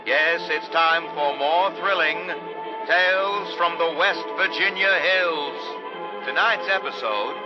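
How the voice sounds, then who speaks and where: An actor playing a grandly mysterious, melodramatic announcer and narrator of an old-time radio serial. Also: male voice, fairly high pitch, takes it slowly. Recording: dull vintage radio recording over loud music.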